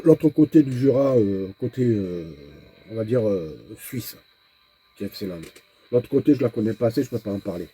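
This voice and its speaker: A man's voice: mid-range voice, dark tone, slightly rough. A man vlogging in a casual, rambling monologue, talking straight to the viewer and often repeating words while he searches for the next one. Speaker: nomad vlogger.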